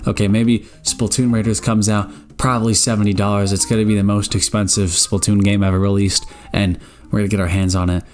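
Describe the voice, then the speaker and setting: A man's voice: lowish voice, a little fast, faint music behind. A nineteen-year-old man giving casual gaming news commentary, rambling with lots of stammered restarts and joking asides. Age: around 19. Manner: animated, joking, exclaims "bro" and "baby".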